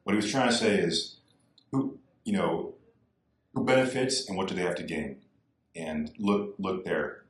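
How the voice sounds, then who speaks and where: A man's voice: low voice, normal speed, roomy sound. A man talking as a political candidate on a podcast, earnest and repeating his points for emphasis. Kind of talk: podcast discussion.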